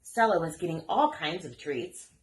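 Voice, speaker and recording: A woman reading a children's story aloud as an expressive storyteller. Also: lowish voice, moderate pace, a little hiss or hum. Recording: echoey room.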